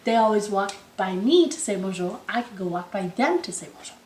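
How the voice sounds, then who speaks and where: A woman's voice: mid-range voice, lively intonation, fairly quick, a little mellow, reverberant, far from the mic, slight background noise, close to an everyday voice. A woman voicing a friendly, curious male lion with a wondering, bewildered manner. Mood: cheerful.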